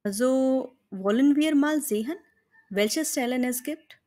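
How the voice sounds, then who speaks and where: A woman with an Indian accent voicing an encouraging, practical female friend in slow, carefully pronounced dialogue for learners. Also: sits high, mellow. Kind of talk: scripted dialogue read for learners.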